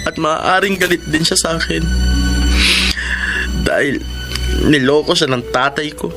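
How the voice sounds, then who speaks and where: Plaintive, high-voiced teenage boy. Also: unhurried, loud music behind the voice. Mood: sad, wistful. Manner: plaintive, earnest. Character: sorrowful, self-conscious teenage boy, loving and patient. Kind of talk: Filipino radio drama acting.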